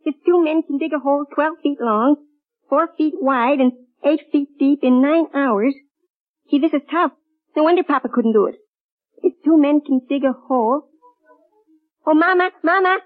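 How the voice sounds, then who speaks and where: A woman's voice: high-pitched, normal speed, pauses often, faint music behind, lightly acted. A woman playing an earnest, dutiful little boy in a 1940s radio comedy. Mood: flustered. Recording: dull vintage 1940s radio recording.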